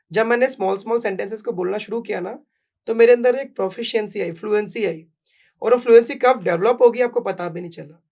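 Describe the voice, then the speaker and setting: A man's voice: high voice, creaky. A young adult man from Bihar with a Bihari accent, an English coach in a podcast interview, mixing Hindi and English and often asking "right?". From Bihar, India. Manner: mixes Hindi and English, emphatic, repeats "right?".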